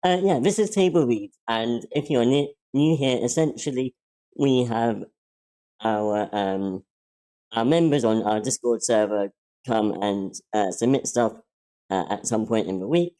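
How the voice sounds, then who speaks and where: A man with a deep, mellow voice hosts a casual online reading session from a computer microphone. He talks in a halting, self-deprecating way, full of ums and little restarts. His pitch sits at an ordinary middle level. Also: rough recording. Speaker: stand-in host of online table reads. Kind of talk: casual spoken hosting.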